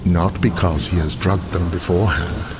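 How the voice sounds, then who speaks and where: An actor playing a solemn, earnest elf envoy in a video game, with formal, measured phrasing. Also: male voice, deep voice, steady tone, noisy background, natural voice.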